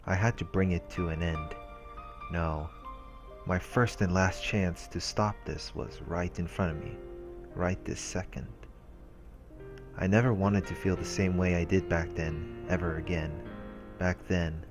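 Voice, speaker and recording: Deep-voiced man narrating a visual novel. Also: fairly even intonation, unhurried. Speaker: let's play host reading aloud. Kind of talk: scripted narration, reading visual novel text aloud. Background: soft game music.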